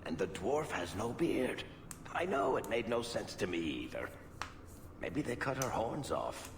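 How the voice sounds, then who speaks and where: A man playing a dry, sardonic underling in a fantasy video game, delivering sarcasm deadpan. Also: fairly low pitch, unhurried. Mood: bemused, sardonic. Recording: echoey distant game audio, noisy background.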